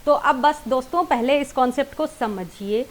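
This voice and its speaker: A woman's voice: high-pitched, moderate pace. A woman with an Indian accent giving an informal singing lesson online, explaining directly to viewers and mixing in English terms. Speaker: singing teacher.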